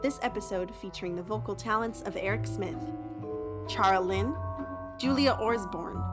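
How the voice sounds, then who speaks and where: A woman delivers a scripted announcement of show credits. Her voice sits at an average pitch, with clear music playing behind it. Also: normal speed. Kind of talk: scripted narration.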